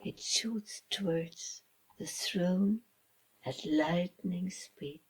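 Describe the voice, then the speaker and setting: A woman reading a children's story as its author, in a dramatic storybook style with hushed, breathy phrases and deliberate pauses. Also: fairly low pitch, rough recording. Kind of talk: slow dramatic storybook narration.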